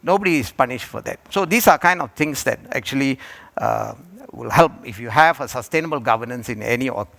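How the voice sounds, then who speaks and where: A man from Malaysia speaks on a conference panel. His voice sits at a middle pitch. He stops and restarts often and fills gaps with "uh". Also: expressive, creaky, a bit bright. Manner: halting, with many fillers and restarts. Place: conference hall.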